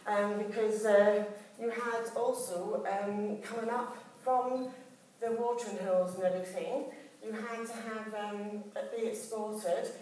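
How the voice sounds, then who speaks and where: A woman's voice: medium pitch, steady tone, unhurried, a little mellow. A woman giving a flower arranging demonstration, rambling and chatty with many ums and restarts, as if telling a room of listeners. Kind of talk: live floristry demonstration with chatty asides. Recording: echoey hall, recorded from a distance.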